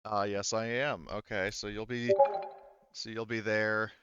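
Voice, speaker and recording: Guy game mastering, low voice, kinda halting, rephrases a lot, dry slightly dull mic. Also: little pitch movement, sharp tone, clean tone, no echo. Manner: halting, explains in repeated rephrasings. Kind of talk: casual tabletop game narration and chat.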